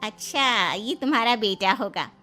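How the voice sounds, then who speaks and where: A woman voicing an excitable, nosy middle-aged auntie in a cartoon story. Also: mid-range voice, measured pace, faint music behind. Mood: surprised, nosy.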